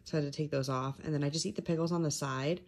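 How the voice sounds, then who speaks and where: Low-pitched young American woman vlogging. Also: steady tone, racing, a little mellow. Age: 20s. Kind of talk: casual vlog chat to viewers. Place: indoors at home, kitchen and living room. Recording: clear household background noise.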